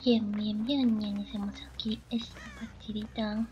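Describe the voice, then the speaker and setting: A woman's voice: medium pitch, flat delivery, moderate pace, darkish tone. A woman giving casual gaming commentary, murmuring to herself and dropping in English interjections. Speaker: gaming channel host. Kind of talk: casual horror game commentary. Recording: clearly noisy, game sounds behind. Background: game ambience.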